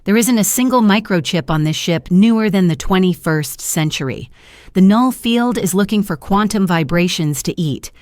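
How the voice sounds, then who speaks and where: A woman voicing a tough, wry female ship mechanic in an audio drama, explaining things briskly. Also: medium pitch, animated, a little mellow, no room sound, clean studio sound, natural voice.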